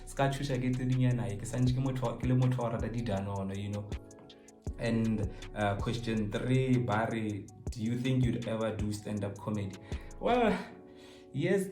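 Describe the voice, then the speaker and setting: A young man with a South African accent talks in a fairly low voice, joking his way through viewer questions. He slips between languages as he chats. The room echoes and music plays faintly behind him. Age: 20s. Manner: animated, joking, code-switches between languages. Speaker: YouTuber answering viewer questions. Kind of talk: casual chat to camera.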